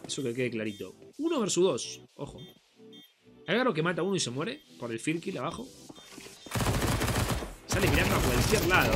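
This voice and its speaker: A young man with a Rioplatense Spanish accent, congested from a cold, chatting casually with viewers while commenting on a game replay. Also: fairly high pitch. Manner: chatty, addresses viewers as friends. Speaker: gaming streamer. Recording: game music and gunfire behind.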